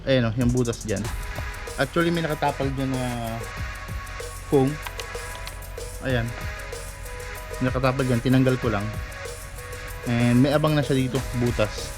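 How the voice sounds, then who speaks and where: A man's voice: mid-range voice, unhurried, long pauses. A man from the Philippines, a motorcycle rider, chatting casually as he reviews a helmet headset at home and talking straight to his viewers with lots of filler tags. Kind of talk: casual product review vlog. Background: music playing from the headset.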